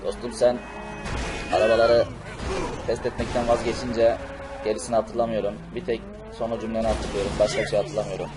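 A man's voice: on the low side, little pitch movement, a little fast, over music, natural voice. A man voicing an emphatic male cartoon superhero in an amateur role-play over a video game. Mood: calm, apologetic. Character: angry, unhinged male cartoon superhero on a rampage. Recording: noisy game audio with explosions and vehicles.

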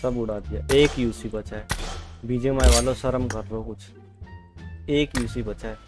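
A man's voice: mid-range voice, steady tone, a little slow. A man doing casual gameplay commentary, chatting to viewers and repeating his phrases. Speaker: gaming video creator. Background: game music.

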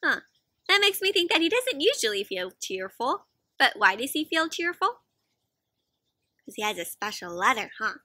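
Casting: young woman, children's read-aloud host, high-pitched and animated, inviting little listeners in with questions. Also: normal speed, pauses often, no echo, clean studio sound. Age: young adult. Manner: expressive, asks frequent questions, gasps. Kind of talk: interactive picture-book read-aloud for children. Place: outdoors in a fenced backyard.

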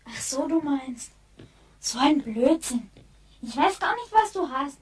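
A reassuring, matter-of-fact young girl in a sci-fi radio play. The voice is very high and slightly distant, with faint noise. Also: animated, unhurried. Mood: reassuring, defensive. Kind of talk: German science fiction radio play acting.

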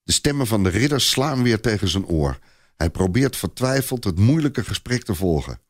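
A man narrating a scripted story in a dramatised, expressive and deliberate storytelling style. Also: lowish voice, no echo, studio quality.

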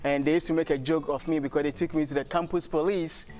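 A man's voice: a little high, steady tone, fast, a little mellow, faint music behind. A middle-aged man with a Ghanaian accent, explaining his work as an interview guest. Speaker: interview guest, shoemaker and business founder. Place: bright glass-walled TV studio. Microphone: lapel mic.

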